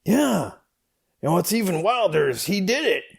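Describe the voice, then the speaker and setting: Comedy skit: man playing a dim, folksy, hesitant rambler, heavy gravel, slightly raised pitch, unhurried delivery. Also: big pitch swings, heavily acted. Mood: matter-of-fact. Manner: hesitant, halting, meandering. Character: rambling slow-witted folksy comic storyteller El Dub. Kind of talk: comedy skit read as dialogue.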